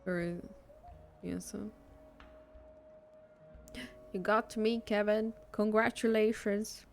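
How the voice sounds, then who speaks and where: A woman's voice: mid-range voice, steady tone, takes it slowly, gravelly. A woman livestreamer chatting casually as she reacts to a video game. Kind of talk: casual stream chat. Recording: faint game music behind.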